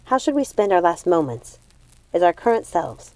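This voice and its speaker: A woman's voice: lowish voice, moderate pace, dry recording, poor audio. A woman voicing an eager, bookish young witch in a storybook, with an earnest, questioning manner. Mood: giddy. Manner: excitable, questioning.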